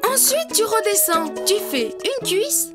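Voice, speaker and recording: A woman's voice: very high voice, very animated, a little slow, over loud music, exaggerated voice. A woman voicing a cheerful, confident young boy character in a preschool cartoon, explaining things in a friendly way to young viewers. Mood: cheerful, instructive. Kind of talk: preschool cartoon voice acting, drawing tutorial.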